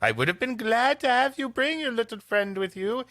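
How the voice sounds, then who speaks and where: A man's voice: extremely high pitch, lively intonation, moderate pace, pushed and bright, no room sound, voice pushed up, exaggerated voice. A man voicing a genteel, apologetic mother in a storybook, formally reading out a tender letter. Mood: gently apologetic. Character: genteel apologetic mother writing to her dear son.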